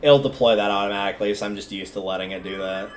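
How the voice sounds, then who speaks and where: A man's voice: fairly low pitch, steady tone, racing, slight background noise. A man livestreaming a video game, giving casual commentary and thinking aloud in a conversational way as he plays. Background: video game music.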